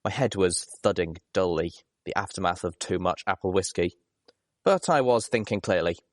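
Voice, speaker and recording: A man's voice: sits low, normal speed, no echo, clean studio sound. A man reading first-person story narration as an audiobook narrator. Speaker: audiobook reader.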